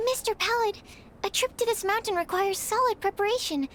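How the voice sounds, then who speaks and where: A nervous young alchemist girl with a very high, soft voice. She is politely formal and often stumbles over her words. Faint ambience sits behind her. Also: moderate pace, a little hiss or hum. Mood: timid. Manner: shy, apologetic, stammers. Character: timid young female alchemist, polite and anxious. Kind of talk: video game voice acting.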